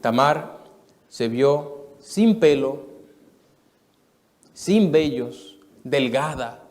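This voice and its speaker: A man in his thirties from the Dominican Republic, preaching a sermon testimony to a live church audience in an earnest storytelling way, moving between soft and emphatic. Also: mid-range voice, lively intonation, drawn-out words.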